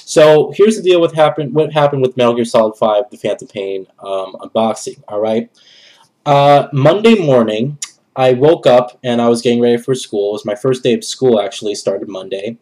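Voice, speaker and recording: A man's voice: medium pitch, expressive, moderate pace, mellow, poor audio. A young man with a nasal, congested voice from a cold, chatting casually to camera as a gaming vlogger in a bedroom. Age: young adult. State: has a cold. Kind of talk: casual rambling channel update to camera. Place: small bedroom, indoors.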